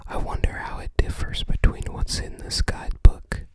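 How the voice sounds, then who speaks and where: A person whispering softly and breathily in an unhurried, musing ASMR show-and-tell. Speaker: ASMR video creator.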